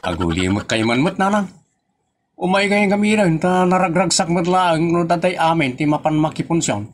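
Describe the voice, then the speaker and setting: A man's voice: fairly high pitch, animated, moderate pace, slight background noise, voice pushed up, natural voice. A man puts on an eager young Ilocano son in a comedy audio drama. The voice is pushed well up, and he coaxes and nags his parents insistently. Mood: eager, coaxing.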